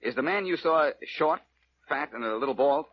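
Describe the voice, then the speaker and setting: An actor playing a quick-witted, wisecracking amateur detective in a 1940s radio drama, brisk, insistent and wry. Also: male voice, fairly high pitch, expressive, lightly acted. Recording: muffled old radio recording with hiss.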